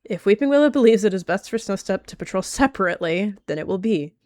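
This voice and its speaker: A woman's voice: mid-range voice, animated, fast, a little mellow, dry recording, natural voice. A woman voicing a stern she-cat clan leader who speaks bluntly and curtly. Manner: blunt, curt.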